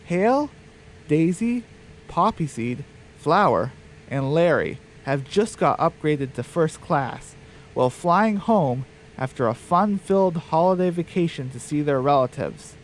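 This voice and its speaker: A man narrating a scripted radio drama in a deliberate storytelling delivery. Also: a little high, expressive, slight background noise, poor audio.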